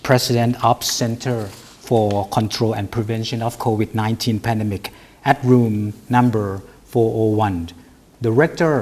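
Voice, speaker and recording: A man's voice: lowish voice, a little mellow. A man hosting a university TV program, reading news and interviewing guests with frequent fillers and restarts. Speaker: TV program host.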